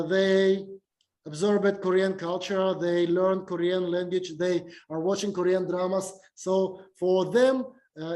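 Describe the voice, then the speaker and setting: Slow, halting man with a Russian accent. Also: sits high, a little mellow. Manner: halting, many fillers and restarts. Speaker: lecturer. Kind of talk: academic lecture over video call. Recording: echoey video call recording.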